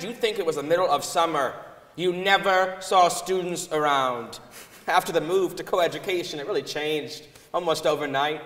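A man giving a scripted dramatic reading of a recollection from a concert hall stage. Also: sits high. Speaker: dramatic reader. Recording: echoey hall, distant from the mic.

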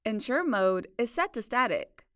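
Clear, lively female tutorial narrator. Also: fairly high pitch, dry recording, studio quality. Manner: clear, measured instructional delivery. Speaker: support video narrator. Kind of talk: scripted tutorial voice-over.

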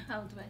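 A woman in her late twenties from Florida, chatting casually as a guest and answering with dry, playful comebacks. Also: background noise. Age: 20s. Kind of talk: casual chat. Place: apartment room indoors.

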